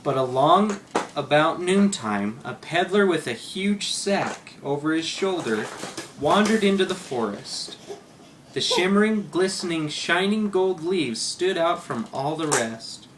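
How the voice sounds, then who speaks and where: A man's voice: a little high, expressive, a little slow. Man reading a storybook, very noisy recording. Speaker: story reader. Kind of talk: reading a children's story aloud. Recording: very noisy home recording with clicks.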